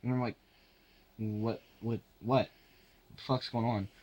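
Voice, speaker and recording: A young man telling a casual anecdote to camera in a halting, sweary way, acting out the dialogue as he goes. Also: mid-range voice, fairly even intonation, a little mellow. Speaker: storyteller.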